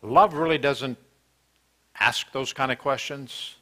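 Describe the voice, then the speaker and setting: Older man preaching with a bright voice. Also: mid-range voice, takes it slowly. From United States. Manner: earnest, questioning, with hesitant fillers and restarts. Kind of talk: sermon. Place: church sanctuary, indoors.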